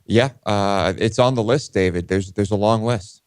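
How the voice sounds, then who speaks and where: A man with a fairly low voice chats casually as a talk show co-host. His delivery is deadpan and low-key. The studio sound is dry and free of room echo. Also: normal speed, clean tone.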